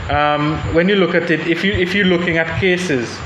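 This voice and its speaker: A young man talks through his views on a panel, pausing and restarting as he thinks. His voice sits a little high, and the room is echoey with steady background noise. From Saint Vincent and the Grenadines. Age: young adult. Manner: thoughtful, hesitant, many restarts. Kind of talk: panel discussion.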